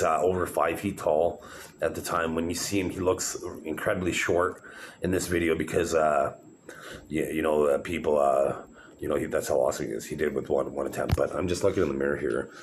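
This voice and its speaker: A man's voice: extremely low pitch, a little hiss or hum. A middle-aged man rambling to the camera in a casual vlog, meandering with many fillers and restarts. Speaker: vlogger showing his own videos.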